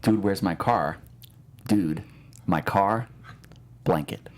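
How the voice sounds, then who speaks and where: A man hosting a relaxed quiz on a podcast, joking and rambling with lots of filler words. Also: low-pitched, takes it slowly. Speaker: host running a movie title quiz. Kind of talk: casual podcast banter.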